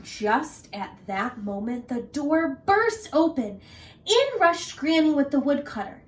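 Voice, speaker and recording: A woman teacher reading a children's story aloud in an animated narrating style. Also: sits high, slow pace, recorded from a distance.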